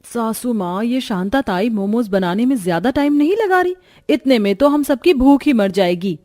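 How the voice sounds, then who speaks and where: Young cartoon sister-in-law acted by a woman: high voice, impatient, teasing, a bit of a nag. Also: expressive, normal speed, dark tone, no echo, clean studio sound, natural voice. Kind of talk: cartoon voice acting.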